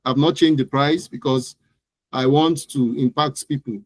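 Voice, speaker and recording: A male interview guest with a Nigerian accent and a middle-pitched voice. He answers conversationally, and the sound is dry with hardly any room around it. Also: fairly even intonation, a little slow, no echo, low-quality recording. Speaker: interview guest, digital marketing expert.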